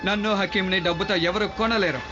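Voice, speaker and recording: A man's voice: high-pitched, fairly even intonation, fast talker, muffled recording, noisy background, natural voice. A man voicing a sensible, principled young sailor hero in a cartoon, earnest in manner. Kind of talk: dubbed cartoon acting.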